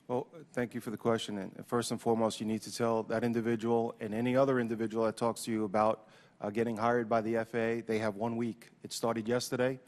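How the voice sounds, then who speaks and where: Man, hearing witness, unscripted testimony, medium pitch, echoing room. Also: level tone, fast, a little mellow. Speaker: union leader testifying as witness. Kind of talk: spoken testimony, unscripted answers. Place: large indoor hearing room.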